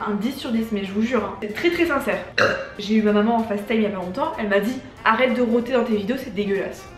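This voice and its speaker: A woman's voice: on the high side, fast, reverberant, soft background music. A young woman YouTuber chatting casually to camera, slangy and full of swearing. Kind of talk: casual chat.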